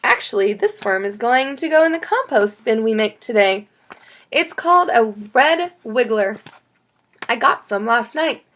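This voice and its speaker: Calm primary school teacher explaining things to pupils, acted by a female narrator, high, expressive pitch. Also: unhurried. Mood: reassuring. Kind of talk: children's audiobook character.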